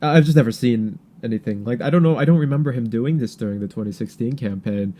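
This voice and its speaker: A man co-hosting a podcast in casual political chat, halting and repeating words as he searches for his thoughts. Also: mid-range voice, dark tone. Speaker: podcast co-host.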